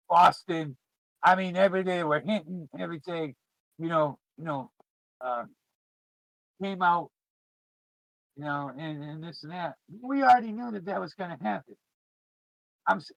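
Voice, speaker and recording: A man's voice: fairly high pitch, vocal fry, fairly bright tone, dry recording, low-quality recording. A middle-aged man chatting casually to viewers as a streamer, in a rambling, informal and emphatic way. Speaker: podcast host and streamer. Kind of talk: casual chat, reminiscing to viewers.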